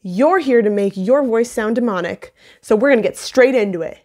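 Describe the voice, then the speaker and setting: A woman's voice: a little high, normal speed, a little mellow. A young adult woman hosting a casual video tutorial in a playful, self-deprecating manner, recorded on a phone in a room at home. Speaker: tutorial host. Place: small indoor home room. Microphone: phone on a mount, about arm's length or a little more.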